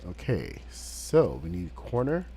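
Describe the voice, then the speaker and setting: Male streamer, slightly low voice, has a cold; loose, rambling play-by-play with fillers. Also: wildly swooping pitch, slow, dark tone, a little hiss or hum. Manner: rambling, with frequent fillers and restarts. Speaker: game streamer. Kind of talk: casual live-stream commentary while building.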